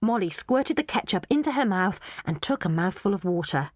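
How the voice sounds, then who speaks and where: A woman narrating a children's audiobook in a measured, even way. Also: mid-range voice, no echo, studio quality. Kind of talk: storybook narration.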